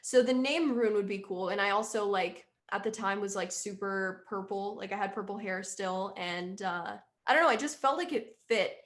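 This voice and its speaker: A woman's voice: medium pitch, moderate pace, few pauses. A young woman musician chatting casually in an interview, rambling and quoting herself often.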